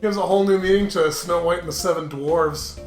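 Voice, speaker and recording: A man's voice: high-pitched, steady tone, brisk, echoey room, soft background music. A man co-hosting a gaming show, reading aloud and bantering casually in a crude, jokey manner.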